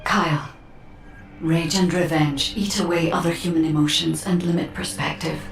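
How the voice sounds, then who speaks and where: An actress voicing a calm, analytical guide in a video game, speaking in a measured, formal way. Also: female voice, sits low, gravelly. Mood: admonishing. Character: calm, analytical female guide advising fighters, earnest and measured. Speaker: guide character. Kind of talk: scripted video game dialogue. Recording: roomy, distant, music underneath.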